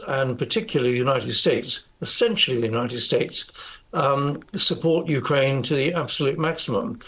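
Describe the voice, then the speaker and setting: A man's voice: medium pitch, fairly bright tone. Older British man, slightly dull lo-fi audio. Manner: measured, hesitant, formal. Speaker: news interview guest. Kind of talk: political interview commentary.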